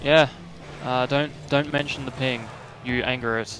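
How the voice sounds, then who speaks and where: A man's voice: medium pitch, a little slow, noisy background. A man chatting casually and rambling a bit while streaming a game with a friend. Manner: relaxed, rambling with fillers. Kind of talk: casual gaming chat.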